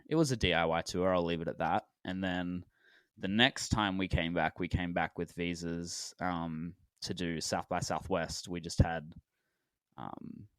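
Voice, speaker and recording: A deep-voiced man in his late twenties from Australia, chatting as a guest on a podcast. His voice is smooth and resonant, and the sound is close and dry with no room around it. He rambles easily, trailing off and restarting his sentences. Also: no room sound. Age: 20s. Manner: relaxed and rambling, with many fillers and restarts. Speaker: musician guest. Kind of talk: casual podcast interview.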